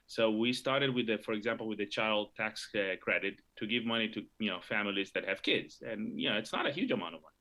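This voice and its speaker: A middle-aged man from Argentina explains economics in a low, bright voice. He talks haltingly, stacking fillers and restarts as he goes. He sounds like a guest on a live talk show. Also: lively intonation.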